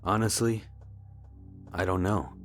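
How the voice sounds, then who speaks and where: A man's voice: deep voice, steady tone, slow. An actor playing an earnest, wary amateur investigator and worried father in an adventure game, questioning in a guarded, restrained way. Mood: troubled, disbelieving. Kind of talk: adventure game dialogue acting. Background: music.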